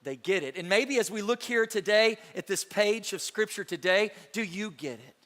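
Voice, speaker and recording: A pastor preaching an Easter sermon, energetic and full of rhetorical questions that call the listeners to respond. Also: male voice, high-pitched, moderate pace. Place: large church auditorium. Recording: reverberant hall with faint music.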